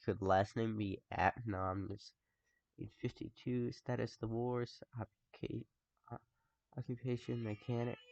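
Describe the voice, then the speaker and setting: A man's voice: medium pitch, little pitch movement, a little slow, vocal fry, a little mellow. A man hosting a reaction video, reading text aloud and adding casual, sweary muttered asides. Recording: dull, noisy home recording.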